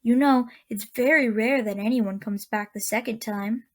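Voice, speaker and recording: A woman playing a kindly old woman of a magical land in a radio drama, speaking with gentle, genteel endearments. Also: fairly high pitch, moderate pace, close to an everyday voice. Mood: warm, busy.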